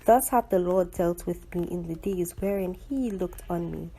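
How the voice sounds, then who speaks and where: A woman reading a Bible story aloud in archaic English, in a measured and solemn recitation. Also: lowish voice, warm tone, slightly rough, poor audio.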